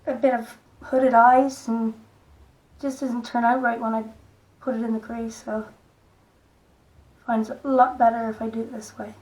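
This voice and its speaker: A middle-aged woman with a croaky voice, gently talking through a makeup tutorial in a soft, unhurried way. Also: fairly high pitch, level tone, poor audio. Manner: soft-spoken, unhurried, stops and restarts gently. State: hoarse, croaky voice. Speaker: makeup vlogger. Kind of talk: casual makeup tutorial talk.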